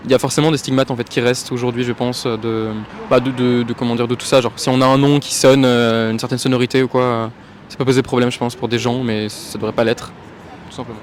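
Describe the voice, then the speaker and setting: A man's voice: mid-range voice, flat delivery, fast talker, a little hiss or hum. Hesitant French man on the street. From France. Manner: hesitant, searching for words. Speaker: passerby interviewed on the street. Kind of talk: street interview answers. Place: outdoors on a city street.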